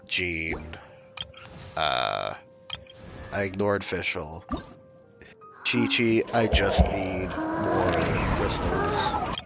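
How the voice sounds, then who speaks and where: A male streamer with a stuffy nose, thinking aloud in relaxed gameplay commentary, with game music behind him. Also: low voice.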